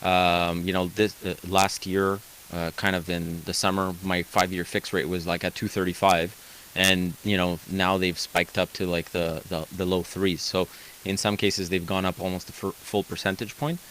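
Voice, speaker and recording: Energetic radio host, lowish voice. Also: male voice, moderate pace, a little hiss or hum, low-quality recording. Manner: energetic, emphatic, checks in with "okay?". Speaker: radio host, mortgage broker. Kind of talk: call-in radio advice.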